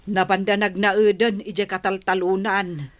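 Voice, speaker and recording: A woman's voice: mid-range voice, little pitch movement, measured pace, lightly acted. An actress playing a resentful, complaining woman in a radio drama, disdainful in tone, with an Ilocano accent. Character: sour, complaining woman, resentful of young couple.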